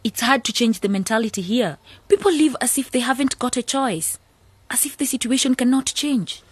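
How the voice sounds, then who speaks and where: A wary, thoughtful young youth leader in a village radio drama. Her voice is slightly high and husky, recorded dry. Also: normal speed, no echo. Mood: skeptical. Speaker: youth association president.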